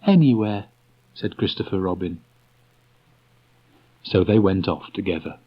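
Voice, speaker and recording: A man narrating a storybook as an audiobook reader, in a measured, unhurried manner. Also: low voice, steady tone, breathy edge. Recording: dull restored cassette tape.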